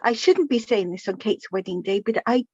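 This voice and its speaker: A woman playing a gentle, flustered middle-aged widowed mother in a radio drama, halting and repeating her words. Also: mid-range voice, animated, breathy edge, no room sound, lo-fi sound. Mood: flustered, confessional. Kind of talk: naturalistic radio drama dialogue.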